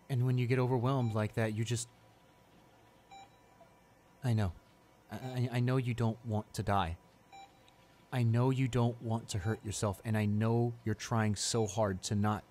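A man's voice: mid-range voice, darkish tone, close to an everyday voice. A man acting a tender, anxious young boyfriend. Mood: gentle, concerned. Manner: tender, halting, repeating himself in reassurance. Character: devoted, anxious young boyfriend comforting his hurt girlfriend. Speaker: voice actor. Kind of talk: intimate boyfriend audio roleplay.

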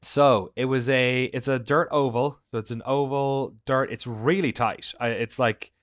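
An Irish man in his thirties, a podcast co-host telling anecdotes casually in an animated, informal way. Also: medium pitch, measured pace, no echo, studio quality. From Ireland. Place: indoor room at home on a video call.

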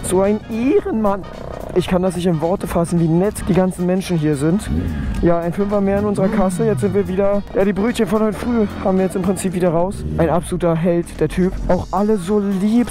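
Hoarse young man on a motorbike. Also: high-pitched, fairly quick, over music. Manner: excited slangy chatter. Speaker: motovlogger. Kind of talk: casual helmet-cam commentary. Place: outdoors on a city street at night, riding a motorbike. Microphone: helmet intercom mic.

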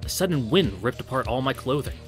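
A man casually reading a visual novel aloud as a streamer, with halting, wry asides. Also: medium pitch, expressive. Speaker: let's play streamer. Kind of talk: casual read-through of a visual novel with commentary. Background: game music.

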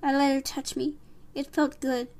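A woman's voice: high voice, flat delivery, moderate pace, darkish tone, pitched above the performer's own voice. A woman voicing a small young male mouse, polite and earnest, in a storybook. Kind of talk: audiobook character voice.

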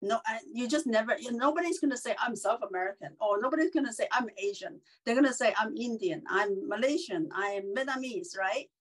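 A middle-aged woman from China, a podcast guest, talking in an animated way with many fillers and restarts over a video call. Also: on the high side, brisk, hardly any pauses, low-quality recording. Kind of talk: casual interview chat.

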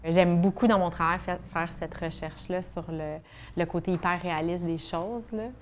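A woman's voice: fairly low pitch, fairly even intonation, moderate pace, a little hiss or hum. A woman in her thirties with a Québécois accent, a photographer, chatting casually about her work in a studio interview. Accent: Québécois French. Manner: chatty, many fillers, gestures while talking. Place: artist studio.

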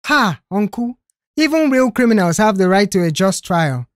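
A woman's voice: medium pitch, sing-song, normal speed, no echo, studio quality, voice pushed up, natural voice. A woman voicing a theatrical, indignant young male troublemaker in an audio story, protesting dramatically. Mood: aggrieved.

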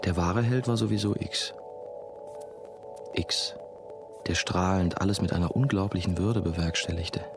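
An actor playing a brooding monastery novice who narrates his own story in a measured, musing, dry way. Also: sits low, over music. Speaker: narrator and main character. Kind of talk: first-person radio play narration.

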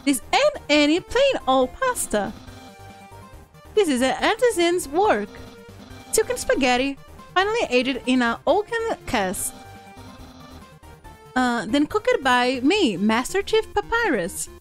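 Girl doing a vain melodramatic skeleton guy from a game, slow pompous lines, music behind. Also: high-pitched, very animated, exaggerated voice. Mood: grandiose, proud. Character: vain, earnest, theatrical male skeleton, bombastically self-confident. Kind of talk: dubbing video game dialogue on a livestream.

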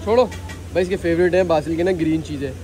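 A man's voice: a little high, fast talker, dark tone. A young man in his twenties vlogging his family outing, chatty and joking, with a sore throat. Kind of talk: casual family vlog narration. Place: restaurant, car and home, moving around. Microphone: handheld phone camera. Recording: phone vlog with background noise.

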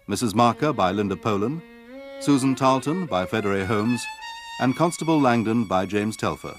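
A man with a British accent making a scripted announcement as a radio announcer. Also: lowish voice. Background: violin music.

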